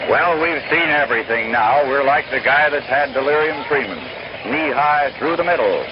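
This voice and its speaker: A man's voice: mid-range voice, animated, moderate pace. Scratchy old-time American baseball radio man. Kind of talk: 1930s-1940s radio baseball play-by-play commentary. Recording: noisy, dull, scratchy old radio broadcast.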